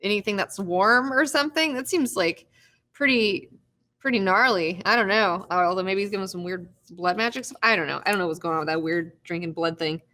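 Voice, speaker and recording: A young woman hosts a live recap show in a loose, chatty way, laughing often and cursing freely. Her voice sits at an average pitch for a woman. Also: a little fast, sharp tone. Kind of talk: casual live recap chat.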